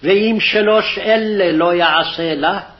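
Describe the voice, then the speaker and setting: Old lo-fi tape of a guy with a high voice reciting bible verses formally. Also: fast talker. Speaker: scripture reader. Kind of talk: scripted Hebrew Bible reading. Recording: dull lo-fi archival recording.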